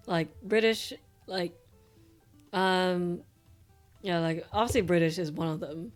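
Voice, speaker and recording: A woman's voice: mid-range voice, a little mellow. Casual Australian woman, rambling chat. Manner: loose and rambling, with casual swearing and many fillers. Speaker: streamer.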